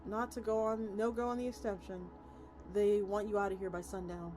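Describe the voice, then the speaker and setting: A woman's voice: medium pitch, level tone, brisk, lightly acted. A woman voicing a practical, sympathetic woman from a search team in a story, reasoning tactfully. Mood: matter-of-fact. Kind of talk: character reading of a story. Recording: loud music behind the voice.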